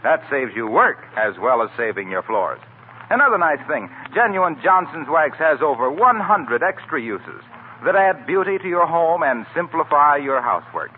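Male 1940s radio announcer, polished sales pitch, fairly high, very lively intonation, hissy recording. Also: normal speed, phone-quality sound.

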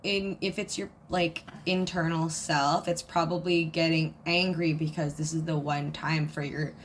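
A woman's voice: deep voice, level tone, measured pace, a little hiss or hum. A woman co-hosting a podcast, chatting casually and rambling, swearing freely. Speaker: podcast co-host. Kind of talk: casual chat.

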